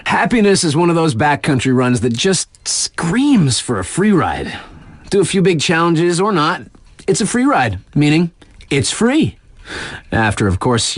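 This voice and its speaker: A man's voice: medium pitch, dry recording, clean studio sound. An actor playing a laid-back, wry young male radio DJ for a snowboard game, with slangy, offhand, casual patter. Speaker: radio DJ on a ski mountain station.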